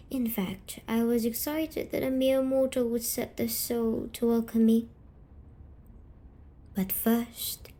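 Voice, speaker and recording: Woman, slow and mellow, soft coaxing ASMR storyteller playing a gentle, eerie night demon, often dropping to a whisper. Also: mid-range voice. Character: gentle, patient, eerie female sleep paralysis demon comforting a dreamer. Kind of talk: scripted ASMR roleplay storytelling.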